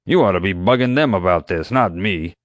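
A man puts on a gruff, crotchety animal-park vet, prickly and quick to growl back. He speaks a little higher and louder than the narrator's own low voice, close and dry. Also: lively intonation, no echo.

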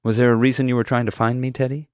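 A man's voice: medium pitch, flat delivery, rapid-fire, no room sound, clean studio sound, pitched above the performer's own voice, close to an everyday voice. A man doing a breezy, easygoing mom. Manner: breezy, shrugging things off. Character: easygoing cheerful mother.

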